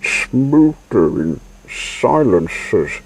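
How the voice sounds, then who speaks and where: Man voicing an urgent, husky little hero car. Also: mid-range voice, very animated, drawn-out words, pitched above the performer's own voice, exaggerated voice, low-quality recording. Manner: exclamatory, urgent. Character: male Mini car, brisk, caring, practical hero.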